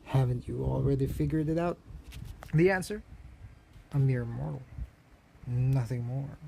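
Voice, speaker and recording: A man's voice: medium pitch, unhurried, gravelly, dark tone, a little hiss or hum. A man playing an arrogant, unbeatable mortal god king who taunts in a bored, mocking way in a story reading. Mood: smug taunting.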